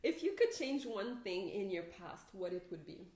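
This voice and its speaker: Young woman, podcast co-host, mid pitch, chatty, giggly, echoey room. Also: big pitch swings, moderate pace, darkish tone. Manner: chatty, leads questions, giggles often. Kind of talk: casual chat.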